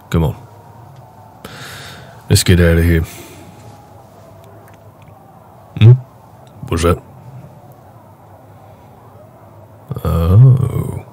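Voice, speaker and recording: A man playing a big, gruff, protective orc raider with a wry, casual manner in a fantasy roleplay audio drama. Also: very deep voice, a little mellow, slight background noise, full character voice. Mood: wry, teasing. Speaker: voice actor.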